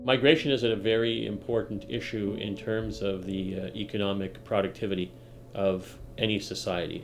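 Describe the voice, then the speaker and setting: Male expert interviewee, somewhat low voice, formal and wordy, explaining analytically over faint music. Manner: formal, wordy, academic phrasing. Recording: music playing behind the voice.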